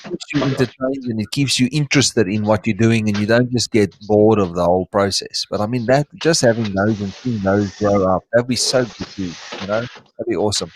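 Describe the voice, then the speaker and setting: A man's voice: on the low side, fairly quick, hardly any pauses, a little hiss or hum, rough recording. A man with an Afrikaans accent, Afrikaans his first language, chatting casually as co-host and often restarting as he hunts for words. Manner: hesitant, hunting for words, frequent restarts. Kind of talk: casual chat.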